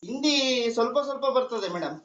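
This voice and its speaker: Brief: lively, quick man on a muffled phone line, eager yes-madam applicant. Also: extremely high pitch. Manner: eager and agreeable, keeps saying "okay madam". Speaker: job applicant on a phone call. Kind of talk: phone conversation. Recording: muffled phone call recording.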